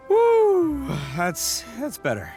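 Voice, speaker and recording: An actor voicing a brash, goofy young oni gang boss in an anime video game, full of blustering, slangy bravado. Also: male voice, high voice, a little mellow, exaggerated voice. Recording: game audio with music behind.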